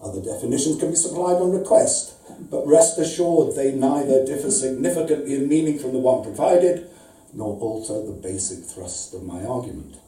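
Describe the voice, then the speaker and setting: A man's voice: mid-range voice, normal speed, warm tone, close to an everyday voice. A man voicing a pedantic, pompous, aggrieved customer reading out a formal, wordy letter of complaint as a live comic story. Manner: formal, wordy, precise, mock-serious. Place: indoor arts venue reading, before an audience. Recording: roomy, distant live event recording.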